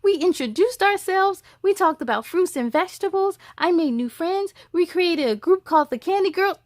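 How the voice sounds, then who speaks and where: A woman voicing a bubbly, confident little schoolgirl from a children's storybook, eager and excited. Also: very high voice, moderate pace, no echo, studio quality, pitched above the performer's own voice.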